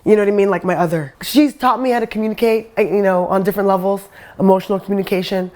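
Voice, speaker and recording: Middle-aged American woman, average pitch, speaking openly as an interviewed performer. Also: steady tone, fast, darkish tone. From suburb outside New York City, United States. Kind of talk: candid personal interview.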